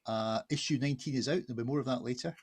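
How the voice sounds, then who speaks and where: Man, medium pitch, informal co-host, hesitant, rambling, off the cuff, video call. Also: rapid-fire, slightly rough. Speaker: co-host, art director. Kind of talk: casual unscripted event hosting.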